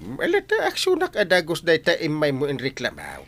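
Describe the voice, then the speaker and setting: Man playing a flustered village captain, wildly swinging pitch. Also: huge pitch swings, moderate pace, gravelly, fairly bright tone, exaggerated voice. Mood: amused, dismissive. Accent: Filipino. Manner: stammering, hesitant, exclaiming. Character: male village captain, flustered, easily amused, kindly. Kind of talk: comedic radio drama acting.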